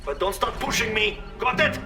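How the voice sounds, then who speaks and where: An actor playing a cocky, condescending co-commander who is a grudging ally in a video game. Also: male voice, sits high, normal speed, lightly acted. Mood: grudging, pushy. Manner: haughty, condescending. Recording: slightly distant, music behind.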